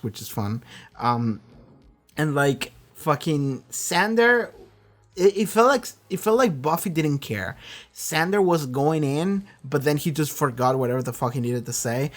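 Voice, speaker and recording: Casual, rambling man reviewing on camera. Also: a little high. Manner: animated, rambling, frequent swearing and acted-out asides. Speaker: reaction video host and streamer. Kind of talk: casual off-the-cuff episode discussion.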